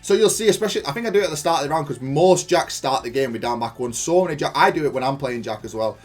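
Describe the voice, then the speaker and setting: A man's voice: on the high side, lively intonation, quick pace. A man giving casual gameplay analysis of his own match in an informal, matter-of-fact, self-critical way. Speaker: gaming content creator reviewing own match.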